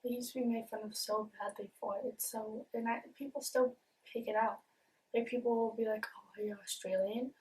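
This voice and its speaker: A young woman talks to the camera in a fairly high voice, with a speech impediment that softens some sounds. She is earnest and open and keeps restarting with ums and repeated words. She is picked up by a camera mic set a bit away from her. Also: fairly even intonation, a little fast, recorded from a distance, slight background noise, poor audio. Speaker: vlogger. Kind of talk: casual confessional talk to camera.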